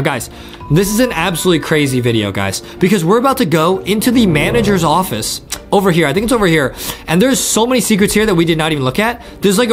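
A man in his twenties giving excited gameplay commentary, eagerly repeating his phrases. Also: high-pitched. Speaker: gaming video host. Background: faint game music.

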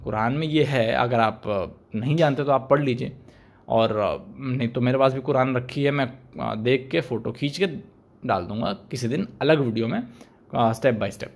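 A man's voice: medium pitch, measured pace, vocal fry. Young man giving pointed commentary at a desk mic. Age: young adult. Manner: pointed, argumentative, rhetorical questions. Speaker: YouTube commentator. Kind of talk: opinion commentary to camera. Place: small indoor room, plain wall. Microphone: desk microphone in front.

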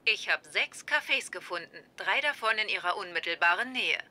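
A woman's voice: mid-range voice, expressive, moderate pace, close to an everyday voice. Lady doing a polite phone assistant voice, formal and literal, really noisy sitcom audio. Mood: polite, obliging. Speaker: voice actor in a sitcom dub. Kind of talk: German-dubbed sitcom dialogue. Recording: noisy sitcom soundtrack with clicks.

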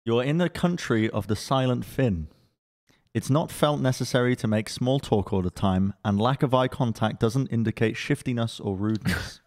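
A man's voice: medium pitch, normal speed, a little mellow. A man co-hosting a casual podcast, reading phrasebook lines and fumbling foreign phrases between laughs, at a desk mic in a studio room. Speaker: podcast co-host. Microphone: desk microphone.

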